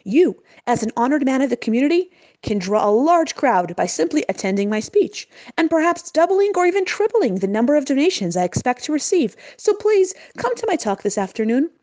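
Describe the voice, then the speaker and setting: A woman voicing a friendly, persistent male travelling preacher in a storybook tale, with animated, courteous persuasion. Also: a little high, moderate pace. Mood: cheery persuading.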